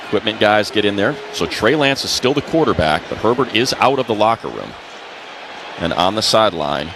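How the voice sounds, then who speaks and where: A man's voice: on the low side, sing-song, fairly quick. An American man calling live football play-by-play for the radio. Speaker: play-by-play announcer. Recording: noisy stadium booth broadcast. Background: stadium ambience.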